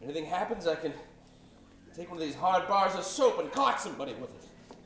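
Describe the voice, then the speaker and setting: An actor playing a panicky, vain, shifty prison guard in a comic old-time radio play, flustered and quick to protest. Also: male voice, high voice, lively intonation, a bit bright. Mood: nervous, pleading. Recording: roomy stage echo, distant mic, faint noise.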